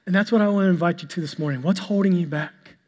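A male pastor preaching a sermon to his congregation, with an even delivery he describes as monotonous. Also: sits high. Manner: calls himself monotonous and boring-voiced; preaching, shifts to a soft, slow prayer. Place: church sanctuary. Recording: long hall reverb with soft instrumental music.

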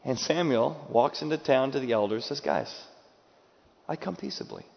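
A man preaches a sermon in a big space, his words trailing off into a long reverb. He acts out the people he quotes and swings between loud bursts and soft, hushed lines. The recording sounds dull and muffled. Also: mid-range voice, expressive, normal speed, creaky. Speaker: pastor.

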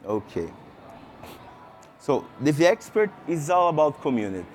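A man's voice: fairly high pitch, sing-song, faint music behind. A man from Brazil with a Brazilian accent, giving a conference talk. He talks with lots of fillers and restarts and asks the audience questions. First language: Portuguese. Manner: halting, many fillers and restarts. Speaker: conference speaker. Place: conference session room.

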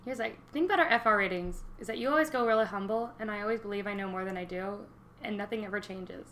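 A woman's voice: medium pitch, fast, a bit bright, slight background noise. A young woman co-hosting a podcast in casual chat, joking and rambling freely. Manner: chatty, joking, laughs often. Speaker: podcast co-host.

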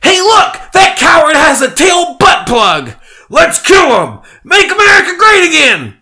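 A man voicing a violent mob of furries, shouting in a high, strained voice. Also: huge pitch swings, a little slow, full character voice. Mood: shrieking angry. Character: shrieking mob of furries, male, violent and zealous.

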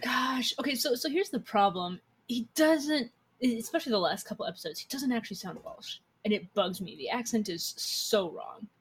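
A woman's voice: fairly high pitch, lively intonation, moderate pace, slightly rough. A woman chatting casually as a player in a tabletop game, informal and self-critical, often repeating herself. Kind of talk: casual chat.

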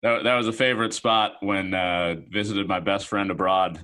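A man's voice: fairly low pitch, fairly even intonation, normal speed, sharp tone. A man interviewing a guest, asking chatty questions and repeating words as he goes.

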